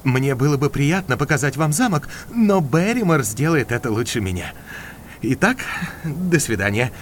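Casting: man playing an obliging, polite country doctor, animated and slightly hesitant, audio drama, light background noise. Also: medium pitch, moderate pace, close to an everyday voice. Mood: courteous, apologetic. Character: courteous country doctor, obliging family friend.

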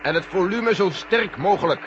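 Man acting a commanding general, higher-pitched voice. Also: normal speed, natural voice. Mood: commanding, urgent. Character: authoritative general running a space mission. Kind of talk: 1970 Dutch science-fiction radio drama acting. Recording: noisy, dull old radio recording.

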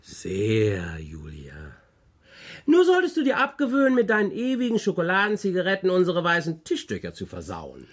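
A man's voice: high-pitched, huge pitch swings, moderate pace, exaggerated voice. An older man playing a whimsical, grumbling grandfather in a radio play, telling tall tales with theatrical emphasis and muttered asides. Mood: dry, whimsical.

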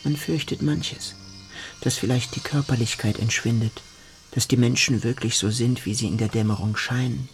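A man's voice: medium pitch, fairly even intonation, normal speed, close to an everyday voice. Radio play role: male actor as solitary, evasive, anxious muser, mid-range voice over light music. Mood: uneasy, musing. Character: fearful, solitary praying man, evasive and musing. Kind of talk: literary radio drama acting. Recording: music behind the voice.

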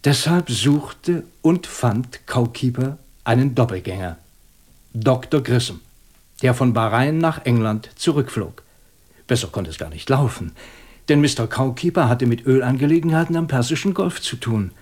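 Ein Schauspieler als souverän bohrender Kriminalinspektor. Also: male voice, mid-range voice, animated, moderate pace, a little mellow, natural voice. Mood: probing, confident. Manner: methodical, probing, dryly superior. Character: shrewd, composed male British police inspector. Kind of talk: German radio crime drama acting.

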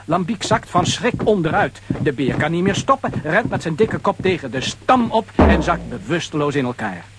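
A man narrating a children's radio play as a dramatic, animated storyteller. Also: fairly high pitch, normal speed, very noisy.

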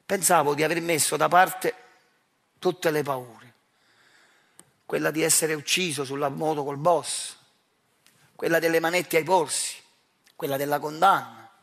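Higher-voiced Neapolitan man, theatrical monologue. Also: fairly even intonation, unhurried, creaky, pauses often, fairly bright tone. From Naples, Italy. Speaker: actor and writer performing his own monologue.

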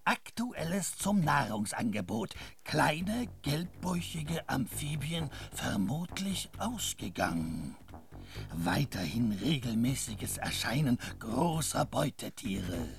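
A man voicing a predatory crocodile in a radio drama, reporting in a gravelly, clipped, clinical manner. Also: medium pitch, very animated, slow pace, full character voice. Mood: detached, clinical.

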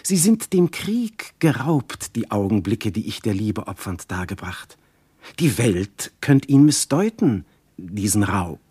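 An ardent, jealous god disguised as a husband in a classical verse radio play. The voice is husky and close-dry, swinging widely in pitch. The delivery is grand and declamatory, dropping at times toward hushed intimacy. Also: male voice, very animated, slow pace, no room sound, exaggerated voice. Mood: tender, secretive. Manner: declamatory, lofty, with dramatic hushed passages.

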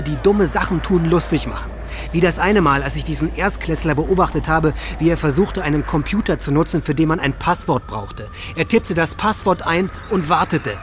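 A volatile, hateful teenage boy speaks in a fairly high male voice. He delivers contemptuous monologues in a radio drama, with music clearly playing underneath. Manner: ranting, rhetorical, contemptuous. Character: hateful, volatile teenage male high-school shooter reading his writings.

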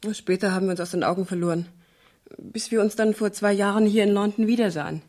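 A woman's voice: medium pitch, fairly even intonation, quick pace, darkish tone, natural voice. An actress playing an anxious, guarded young woman client in a radio crime drama, polite and terse. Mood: subdued. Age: young adult. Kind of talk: 1970s German radio crime drama acting.

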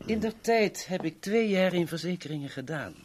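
A man's voice: sits high, expressive, moderate pace, a little hiss or hum. An actor playing a pushy, florid salesman from Ghana in a 1970s radio play, wheedling and theatrical. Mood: pushy, boastful.

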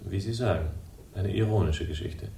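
An actor playing a dry, bitter investigator in a radio crime drama, laconic and sardonic. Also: male voice, normal speed, vocal fry, warm tone, a little hiss or hum. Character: dry, bitter male investigator, quietly hostile. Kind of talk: 1960s German radio crime drama acting and narration. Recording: roomy, distant, faintly rumbling radio recording.

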